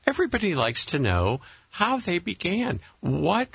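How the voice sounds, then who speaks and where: A male radio host chats warmly with a guest, eager and quick to laugh. His voice is bright and a little husky. The studio sound is close and dry. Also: high-pitched, wildly swooping pitch, no echo. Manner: enthusiastic, chatty, laughs readily. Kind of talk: casual radio interview.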